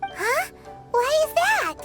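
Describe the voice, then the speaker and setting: A woman's voice: big pitch swings, takes it slowly, loud music behind the voice, exaggerated voice. A woman voicing a tiny, childlike fairy companion in a video game, perky and inquisitive. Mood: curious. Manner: chirpy, squeaky, curious. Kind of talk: animated video game voice acting.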